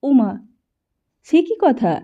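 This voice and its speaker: Woman playing a worried mother, pleading and scolding, high lively voice, mellow tone, dull lo-fi sound. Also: takes it slowly, heavily acted. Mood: anxious. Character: worried hard-pressed mother, scolding her son.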